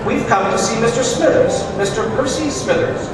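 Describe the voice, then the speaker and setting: Distant, echoey live radio play: male actor as precise, commanding detective, high-pitched and dryly witty. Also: expressive, normal speed. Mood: polite, brisk. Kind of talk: old-time radio drama performed on stage. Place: large hall, live stage performance. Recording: echoey hall from far off, noisy.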